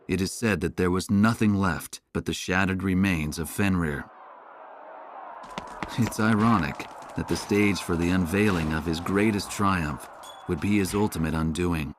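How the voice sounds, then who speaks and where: An actor playing a reflective, world-weary war reporter who narrates a game's story in measured, literary phrases. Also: male voice, sits low, background noise, close to an everyday voice. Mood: reflective, grave. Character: male war correspondent, reflective and world-weary, recounting events. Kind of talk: scripted first-person game story narration. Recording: music behind the voice. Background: music.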